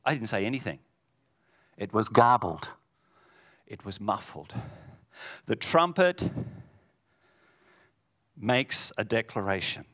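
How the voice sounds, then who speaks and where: A man preaching a sermon, booming and full of rhetorical questions and repetition. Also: sing-song, slow pace, long pauses, a bit bright. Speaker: pastor.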